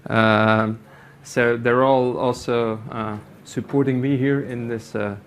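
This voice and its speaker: A middle-aged man from Belgium, a native Dutch speaker with a Belgian Dutch accent, giving a conference talk in a meeting room, halting, with many fillers and restarts. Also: mid-range voice, steady tone. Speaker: conference speaker, company IT executive. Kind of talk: conference presentation.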